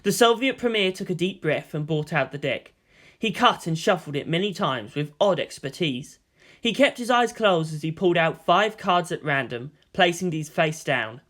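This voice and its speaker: A man's voice: fairly high pitch, sharp tone. A man narrating a fan fiction story in a dramatic scripted reading style. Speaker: fan fiction audiobook reader.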